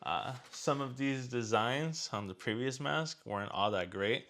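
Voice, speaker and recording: Guy doing a casual unboxing review, normal mid pitch, just chatting to viewers. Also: unhurried, fairly bright tone. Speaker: product review host.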